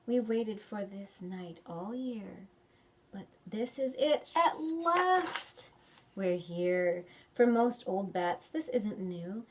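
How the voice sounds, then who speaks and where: A woman's voice: medium pitch, expressive, slow, slight background noise. A woman hosting a children's storytime, reading picture books expressively and chatting to young children with questions. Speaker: children's librarian storytime host. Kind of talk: animated picture-book reading and chat for preschoolers.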